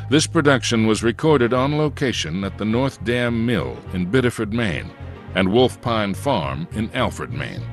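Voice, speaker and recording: A man reading scripted production credits as an announcer in a measured, formal way. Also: fairly low pitch. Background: faint music.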